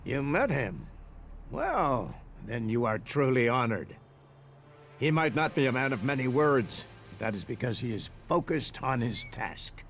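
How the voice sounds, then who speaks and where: A man's voice: mid-range voice, big pitch swings, measured pace, fairly bright tone. Formal, reverent devoted sci-fi follower, male. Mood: reverent, defensive. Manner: formal, lofty, defensive of authority. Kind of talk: video game dialogue acting. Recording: faint ambient noise.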